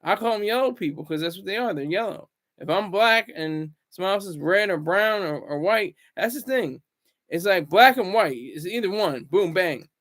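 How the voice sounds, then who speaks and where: A man hosting a casual solo commentary vlog, rambling and restarting his words, swinging between loud bursts and low asides. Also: expressive, moderate pace. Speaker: commentary channel host.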